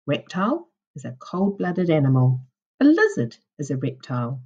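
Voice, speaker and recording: A woman with a low, husky voice reads aloud as a primary school teacher would to young children. Her pace is unhurried and her intonation rises and falls in a very animated way, with careful, instructional phrasing. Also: lo-fi sound. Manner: deliberate, instructional, questioning delivery for young children. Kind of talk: reading a children's non-fiction book aloud.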